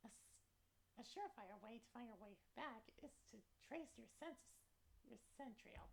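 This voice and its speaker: A woman's voice: mid-range voice, gravelly, a little hiss or hum, poor audio. A woman voicing an anxious, self-mocking lost young male cat in a visual novel, narrating dramatically and fretfully.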